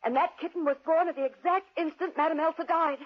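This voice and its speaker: Dull old radio drama with an anxious, devoted young wife. Her high voice is earnest, fretful and melodramatic. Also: fairly even intonation, fast. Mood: fearful. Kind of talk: 1940s radio acting. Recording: dull 1940s radio recording.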